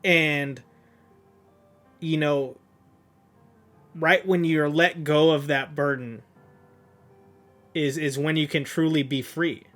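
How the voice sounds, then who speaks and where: A man's voice: on the high side, fairly even intonation, slow, long pauses, a bit bright, soft background music. A young man streaming his reaction to a manga, rambling casually with lots of fillers. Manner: rambling, filler-heavy, restarts.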